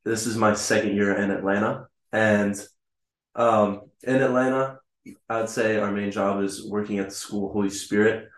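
A young man talks in a relaxed, halting way in a low voice that barely rises or falls. He is recorded in an echoey room. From Kentucky, USA. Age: young adult. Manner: relaxed, hesitant with fillers. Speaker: lay missionary. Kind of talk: casual chat.

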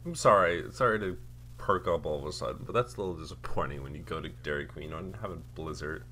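A man with a raspy morning voice, chatting casually and teasing his co-host. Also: very deep voice, sharp tone, slight background noise. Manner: playful and teasing, quick to laugh. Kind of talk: casual chat.

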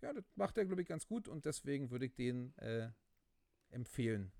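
A man's voice: mid-range voice, big pitch swings, moderate pace, gravelly, darkish tone, no room sound. Guy rambling on a podcast, lots of ums and restarts, gets riled up, dry close mic. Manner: rambling, many fillers and restarts, gets worked up. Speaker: podcast co-host. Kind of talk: casual chat.

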